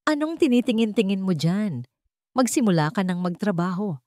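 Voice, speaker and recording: A woman's voice: mid-range voice, lively intonation, normal speed, warm tone, no echo, studio quality, close to an everyday voice. A woman voicing a cold, curt, rich male boss who gives stern orders in a romance audio drama.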